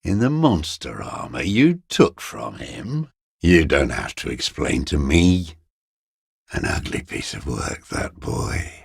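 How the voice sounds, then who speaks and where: An actor playing a bitter, dying old communist deserter in a video game, raspy and contemptuous, given to cursing and ideological scorn. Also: male voice, a bass voice, big pitch swings, slow pace, no room sound, clean studio sound, exaggerated voice. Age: older. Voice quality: gravelly, raspy. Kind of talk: dramatic video game voice acting.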